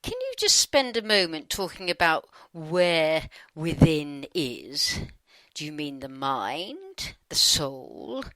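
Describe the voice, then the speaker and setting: Wry, very lively low-voiced British woman. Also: takes it slowly, dry recording. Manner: wry, chatty, expressive. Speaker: channeller reading her side of a dialogue. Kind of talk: conversational scripted reading.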